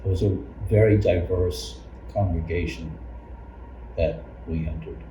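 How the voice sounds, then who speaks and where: Male church member interviewee, low register, informal and halting, recorded far off with room echo. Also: steady tone. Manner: halting, repeats words as he forms thoughts. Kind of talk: informal spoken recollection in a group interview. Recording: noisy, echoey room, far from mic.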